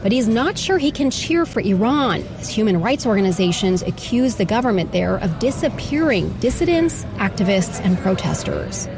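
Radio news reporter, female, American accent, scripted read, mid pitch over a music bed. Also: very animated, moderate pace, hardly any pauses.

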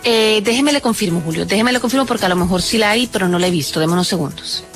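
A woman's voice: mid-range voice, fast talker, soft background music. A woman giving a live, conversational news report, explaining things to the anchor as a reporter.

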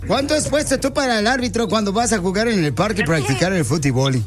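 High-pitched man hosting comedy radio. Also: lively intonation, a little fast, faint music behind. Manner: playful, mock-serious questioning. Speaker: radio show host. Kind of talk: radio prank call comedy.

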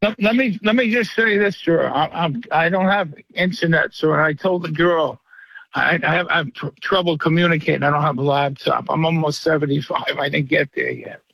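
A man in his seventies calling into a radio show, talking in a flattering, rambling, halting way over a phone line. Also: on the high side, creaky, no room sound. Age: 70s. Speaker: caller. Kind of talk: call-in radio chat.

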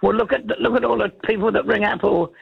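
A New woman rings in to talk radio, her voice croaky and gravelly with a breathy rasp. She chats in loose, repetitive restarts. The call comes through a dull, lo-fi phone line. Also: mid-range voice, steady tone, fast talker. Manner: chatty, repeats herself with restarts. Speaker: caller. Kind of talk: casual radio call-in chat. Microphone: telephone.

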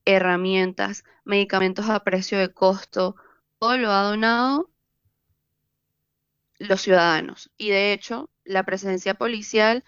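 Woman, Venezuelan accent, journalist, steady factual report, dry close sound. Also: mid-range voice, a little slow, clear, resonant tone, no room sound, dull audio. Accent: Venezuelan Spanish. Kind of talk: reporting in a news interview.

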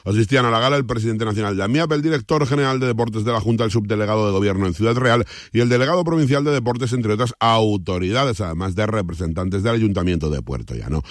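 A man's voice: lowish voice, quick pace, hardly any pauses, dry recording, clean studio sound. A man with a Spanish accent from Spain, reading a scripted radio news report as a reporter.

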